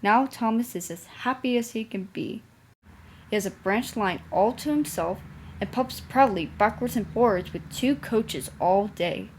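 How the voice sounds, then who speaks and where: A woman's voice: fairly high pitch, measured pace, slight background noise, low-quality recording. A young woman reading a children's storybook aloud as its narrator. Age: young adult.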